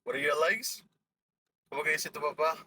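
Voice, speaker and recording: Bright, joking young man in a car. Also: on the high side, a little fast, husky, rough recording. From Ecuador. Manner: joking, mixes English and Spanish. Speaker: podcast host. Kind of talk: casual podcast banter.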